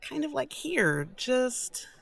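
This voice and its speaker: An actress playing a wary, skeptical human confidant in a fiction podcast drama, guarded and questioning. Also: female voice, high-pitched, big pitch swings, slow, creaky, fairly bright tone, exaggerated voice. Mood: wistful.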